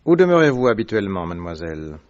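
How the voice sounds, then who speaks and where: An actor playing a sharp, probing male detective in a vintage radio drama, asking crisp, pointed questions. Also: fairly low pitch, big pitch swings, quick pace. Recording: dull lo-fi vintage radio recording.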